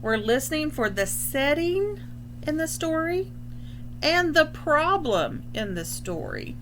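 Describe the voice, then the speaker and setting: A woman's voice: high voice, sing-song, noisy background. A woman speaking as a school librarian in an instructional read-aloud for young children, explaining things as she goes. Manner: explanatory, pausing to define words. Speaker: school librarian reading a picture book aloud.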